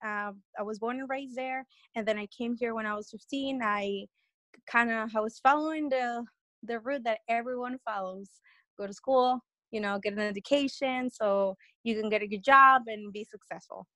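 Guest, young woman from Peru, earnest and rambling, slightly high voice, dry close mic. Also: moderate pace, bright, forceful tone, no room sound. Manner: rambling, many fillers and restarts, earnest. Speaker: podcast guest. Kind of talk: casual interview storytelling.

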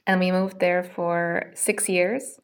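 A woman's voice: lowish voice, level tone, slow pace. A woman who grew up in Singapore and runs a school, speaking in a casual interview, thoughtful and full of restarts. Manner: thoughtful, with frequent fillers and restarts. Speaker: school owner, guest.

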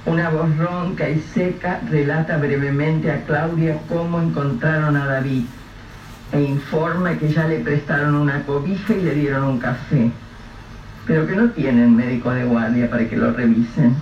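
A man with a Rioplatense accent narrating a radio drama in a measured, vivid storytelling manner. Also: a little high, fairly even intonation. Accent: Rioplatense Spanish. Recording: echoey, distant, dull, faint hiss.

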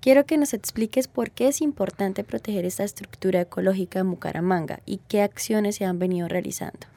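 A woman's voice: medium pitch, brisk, gravelly, warm tone. A woman with a Colombian accent interviewing a guest in a casual, conversational style. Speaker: interviewer, co-host.

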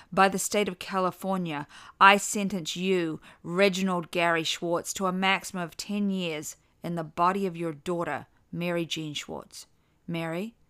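A woman's voice: fairly low pitch, fairly bright tone, natural voice. Woman reading as a stern, formal judge. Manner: formal, measured, authoritative. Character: stern male judge pronouncing sentence in court.

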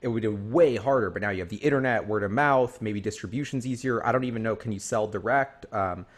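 Fast-talking low-voiced male interviewer. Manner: rambling, thinks aloud while asking. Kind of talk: casual interview questions.

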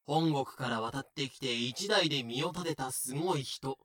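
A man's voice: mid-range voice, moderate pace, fairly bright tone. A man reading scripted explanatory narration as the narrator of an audio drama.

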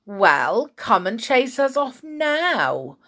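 Cocky older village boy, acted by a woman reader, storybook bully, scornful, sing-song taunts, slow drawn-out words. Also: on the high side, very animated, fairly bright tone, pitched above the performer's own voice, exaggerated voice. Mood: mocking, daring.

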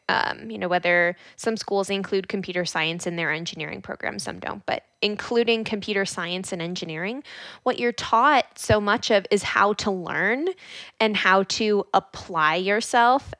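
A woman interviewer chats with her guest, often agreeing and building on the guest's points. She speaks fluently at a middle pitch. The recording is dry, with no room sound. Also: fairly bright tone, no echo. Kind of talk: casual interview.

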